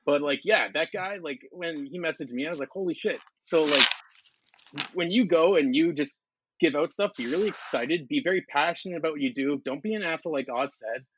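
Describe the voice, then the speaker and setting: A young man talking loudly and intensely as a guest in a casual podcast chat. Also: on the high side, brisk, noisy background. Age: young adult. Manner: loud, intense and excitable.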